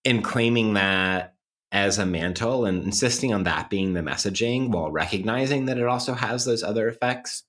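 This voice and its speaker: A man co-hosting a podcast in a reflective conversational style, thoughtful and halting, circling his points with many qualifiers. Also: fairly low pitch, a bit bright. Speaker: podcast co-host.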